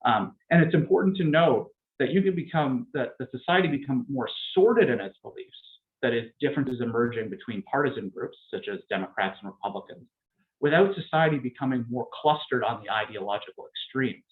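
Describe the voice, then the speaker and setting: A man's voice: medium pitch, expressive. Man lecturing over a tinny line. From Canada. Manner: measured and explanatory, hedging with frequent fillers. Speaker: political science lecturer. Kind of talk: academic lecture. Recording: tinny phone-like lecture recording.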